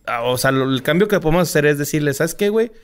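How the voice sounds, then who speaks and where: Jokey Mexican man, slightly high voice. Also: expressive, clear, resonant tone. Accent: Mexican Spanish. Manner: jokey, slangy, quick to laugh. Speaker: podcast co-host. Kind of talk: casual chat.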